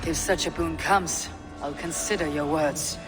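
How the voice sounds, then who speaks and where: A woman's voice: sits low, fairly even intonation, a little slow. A level-headed Viking warrior in a historical game. She speaks directly and with measure in a reverberant hall over music. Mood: persuasive. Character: steady, diplomatic Viking warrior. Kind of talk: dramatic video game acting.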